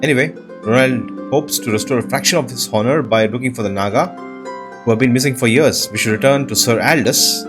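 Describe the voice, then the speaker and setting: A man's voice: medium pitch, brisk, music in the background. A man giving casual commentary as he plays through a video game, talking through it in an everyday way. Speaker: gamer narrating a playthrough.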